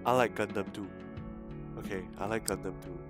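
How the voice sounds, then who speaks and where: A man streaming a visual novel read-aloud, halting and repeating words, with casual asides to chat. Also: on the low side, fairly even intonation, music in the background. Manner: halting, stumbles and repeats words while reading.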